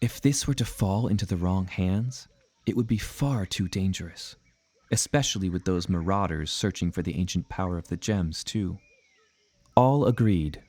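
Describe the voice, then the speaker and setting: A man playing an earnest, reflective adventurer who narrates his journal in measured, literary audio drama storytelling. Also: sits low, darkish tone, dry recording. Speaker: narrating character. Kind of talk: scripted first-person audio drama narration.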